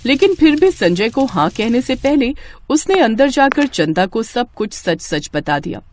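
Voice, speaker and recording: High-pitched woman narrating a story. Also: very animated, measured pace, darkish tone. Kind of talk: scripted moral story narration.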